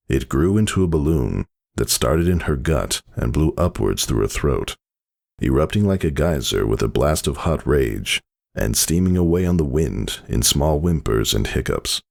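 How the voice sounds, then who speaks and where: A man giving a dramatic, theatrical story narration with intense, measured phrasing. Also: extremely low pitch, creaky.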